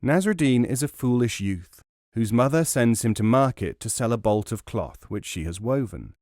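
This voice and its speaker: A man reading an essay as a scripted audiobook narration, in a measured, formal way. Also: medium pitch, expressive, a little mellow, no echo, clean studio sound.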